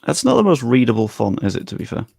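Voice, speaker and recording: A man's voice: medium pitch, wildly swooping pitch, quick pace, vocal fry, mellow, slightly rough, dry recording, clean studio sound. A young man live-streaming, chatting casually with his viewers and thinking aloud as he works on screen.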